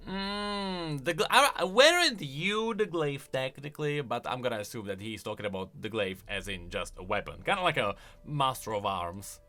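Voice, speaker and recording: A man's voice: medium pitch, lively intonation, moderate pace, few pauses, sharp tone. A man hosting a video, giving casual, enthusiastic commentary on game lore and explaining as he goes. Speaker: video host.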